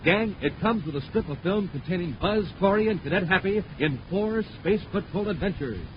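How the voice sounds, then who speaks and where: High-pitched American 1950s radio announcer man. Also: animated, normal speed. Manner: emphatic, showman-like. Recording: muffled, noisy 1950s radio recording.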